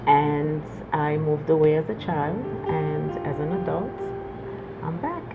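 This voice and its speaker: A shy, quiet woman from Trinidad, a guest in an interview, answering plainly. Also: deep voice, unhurried, gravelly. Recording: tinny phone-like line with music.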